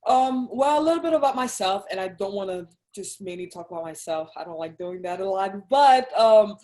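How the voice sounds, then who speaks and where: A young woman from Haiti with a Haitian accent, telling her own story as an interview guest in an earnest, soft-spoken way. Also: mid-range voice, lively intonation, moderate pace. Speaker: interview guest, Army captain. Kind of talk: casual personal story.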